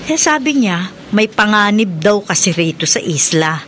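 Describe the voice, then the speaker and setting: Reassuring wife and mother in radio drama; lively intonation, faint noise. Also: medium pitch, normal speed. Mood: reassuring, confiding. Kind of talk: Filipino radio drama acting.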